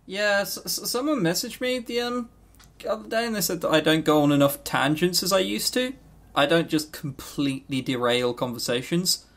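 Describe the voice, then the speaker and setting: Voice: medium pitch, lively intonation, normal speed. Podcast co-host for loose banter: sarcastic, jokey, happy to ramble off on tangents. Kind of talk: casual, tangent-prone banter about visual novels.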